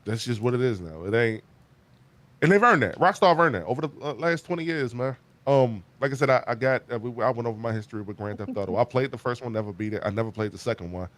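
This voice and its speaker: A man in his thirties runs a loose gaming podcast, talking at a quick clip in a mid-range voice. He is animated and emphatic, tripping over restarts as his thoughts rush ahead. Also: medium pitch. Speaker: podcast host. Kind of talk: casual gaming chat.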